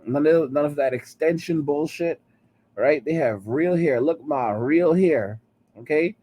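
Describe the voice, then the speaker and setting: Guy pushing forty on a heated rant, keeps saying all right?, kinda high but dark mellow tone. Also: unhurried. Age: 30s. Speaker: commentary channel host. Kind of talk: ranting commentary.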